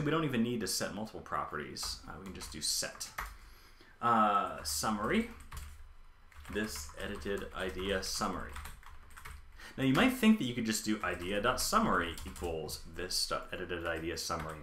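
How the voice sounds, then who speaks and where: Lively-voiced man live coding. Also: low-pitched, normal speed, a little hiss or hum. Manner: thinking aloud, many ums and uhs. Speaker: live-coding streamer and developer. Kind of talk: live coding tutorial with chat replies. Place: home office, indoors. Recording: echoey room.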